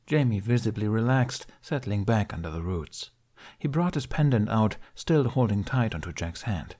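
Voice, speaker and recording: A man reading a fan fiction aloud as an audiobook, in soft, measured storytelling. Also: on the low side, husky. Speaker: podfic reader.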